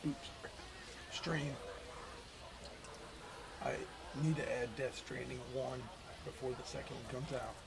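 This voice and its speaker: A male gaming streamer, hoarse and losing his voice, muttering casual reactions while playing. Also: steady tone, slow pace, pauses often, background noise. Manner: low-key, muttering reactions. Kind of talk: casual gameplay commentary. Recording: loud game audio behind. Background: baseball video game sound.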